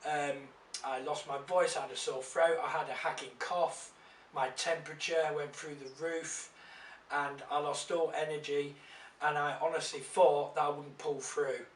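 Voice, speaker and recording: A man vlogging casually to his viewers, chatty and grateful, his voice croaky after being ill. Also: a little high, steady tone, moderate pace, recorded from a distance, slight background noise. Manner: chatty, grateful, slightly rambling. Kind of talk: casual vlog to camera. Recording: echoey room, away from the mic.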